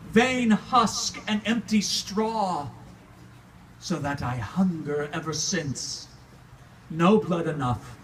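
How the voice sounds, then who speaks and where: A man's voice: high voice, sharp tone, exaggerated voice. A man with a Californian accent performing a dramatic monologue as a grieving, embittered centuries-old vampire count, theatrical and declamatory in archaic poetic phrasing. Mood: mournful, weary. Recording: distant live room recording, faint noise.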